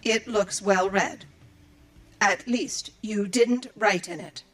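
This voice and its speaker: A woman's voice: mid-range voice, takes it slowly, a bit bright. A woman playing a dutiful, earnest android librarian in an audio drama, speaking in formal, precise, procedural phrases. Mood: flustered, precise. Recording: music behind the voice. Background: music.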